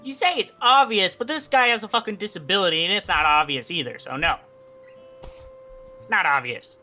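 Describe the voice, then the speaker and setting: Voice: medium pitch, lively intonation, brisk, bright, forceful tone. A young adult from America chatting casually and bluntly with friends on a game stream, often swearing. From United States. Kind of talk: casual chat, argumentative. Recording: music behind the voice.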